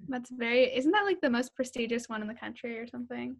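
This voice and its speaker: A woman's voice: fairly high pitch, fast talker, gravelly. A young woman co-hosting a podcast over a video call, casual and giggly. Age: young adult. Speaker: podcast co-host.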